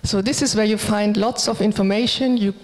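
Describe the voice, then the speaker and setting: A woman giving an informal project presentation, speaking in a halting, hesitant way as a native German speaker. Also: medium pitch, steady tone, a little mellow. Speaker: conference presenter. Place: hall, presenting to an audience. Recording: reverberant hall sound.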